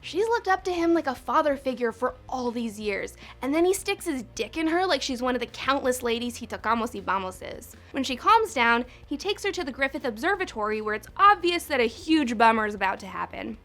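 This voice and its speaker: A woman's voice: sits high, sing-song, brisk, hardly any pauses, fairly bright tone, faint music behind. A young woman hosting a countdown video, talking in a sarcastic, profane, chatty way. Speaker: host. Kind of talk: snarky countdown commentary.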